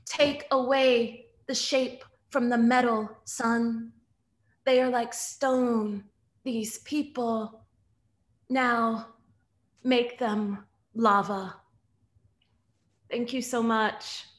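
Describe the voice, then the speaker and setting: A woman in her thirties reading poetry with deliberate, measured phrasing. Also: a little high, steady tone. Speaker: poet reading at an online event. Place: room at home.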